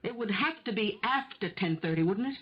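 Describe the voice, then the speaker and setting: An actress in a 1970s radio drama playing a desperate, stubborn middle-aged mother who argues insistently for her accused son, with a husky voice. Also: mid-range voice, lively intonation, a little fast. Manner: insistent, argumentative, pleading. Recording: dull, lo-fi old radio recording.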